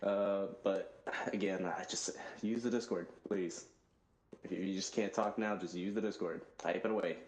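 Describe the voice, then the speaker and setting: A man speaking calmly to a group in a laid-back, casual way. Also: sits low, moderate pace, echoey room.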